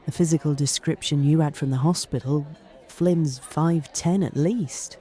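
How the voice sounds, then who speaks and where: Police guv'nor in an audio drama, soft dark tone, higher side, clipped and bossy. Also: male voice, fairly even intonation, measured pace, slightly rough. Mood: deliberate. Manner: clipped, authoritative. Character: brisk, methodical male senior police detective in charge. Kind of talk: naturalistic audio drama dialogue.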